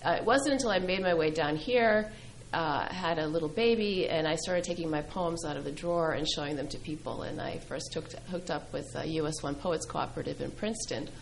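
A middle-aged woman who grew up speaking Greek, a poet answering interview questions thoughtfully, with many pauses and fillers. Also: low-pitched, vocal fry, slight background noise, rough recording. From New England. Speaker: poet and filmmaker guest.

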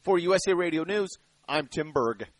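A man reporting the news with a crisp newsreader delivery. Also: a little high, lively intonation, moderate pace.

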